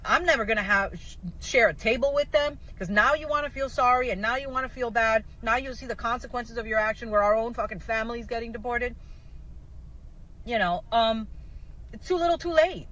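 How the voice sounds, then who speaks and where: Casting: woman, medium-pitched voice, for an outspoken, sweary personal video. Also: a bit bright, low-quality recording. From South Florida, USA. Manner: blunt, emphatic, swearing. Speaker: social media video maker. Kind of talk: candid personal video monologue.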